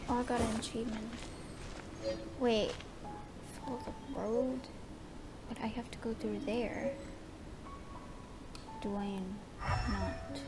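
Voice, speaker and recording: A woman's voice: fairly high pitch, steady tone, slow pace, long pauses, low-quality recording. A woman giving casual gameplay commentary, thinking aloud in short reactions as she streams. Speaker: game streamer. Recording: game music behind the voice.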